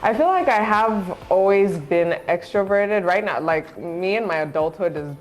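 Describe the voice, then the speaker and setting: A young woman from Zambia, a guest chatting casually in an interview, relaxed and laughing often, with many fillers. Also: fairly low pitch, normal speed, soft background music, rough recording. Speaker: guest, content creator.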